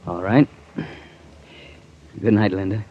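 An actor playing a cool, wry investigator in an old-time radio drama, dry and unhurried. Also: male voice, fairly low pitch, fairly even intonation. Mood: dry, teasing. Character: cool, wry male investigator narrating his own case. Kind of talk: 1940s radio acting, scripted first-person narration. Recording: muffled old radio recording with music.

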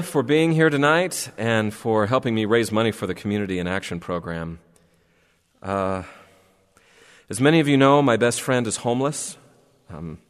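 A man's voice: fairly low pitch, natural voice. A man playing an earnest, loyal friend in a stage drama, recorded with long reverb. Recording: long hall reverb, faint music.

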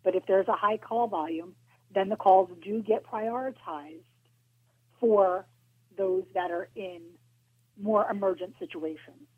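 A woman's voice comes through a thin, tinny phone connection, a touch husky. She explains roadside safety in a measured, practical way, pausing now and then. Her pitch sits in an ordinary middle range. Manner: measured, practical, some hesitations. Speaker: auto club spokesperson guest. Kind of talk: informative interview answers. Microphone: phone line.

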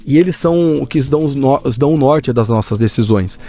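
A man from Brazil, a business founder, answers interview questions. His voice is mellow and warm, and his speech is earnest and halting. Faint music plays beneath. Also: mid-range voice. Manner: earnest, measured, many hesitations. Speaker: company founder, guest.

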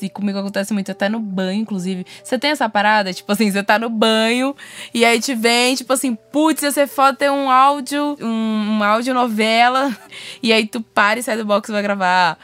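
A woman's voice: a little high, fairly quick, a bit bright, soft background music. A woman with a Brazilian accent hosting a casual interview, asking questions in an informal, slangy way. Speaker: podcast host, interviewer.

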